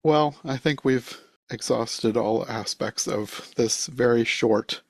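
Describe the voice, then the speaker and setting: A man's voice: medium pitch, takes it slowly, no echo. A man hosting a podcast in a casual, conversational style. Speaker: podcast host.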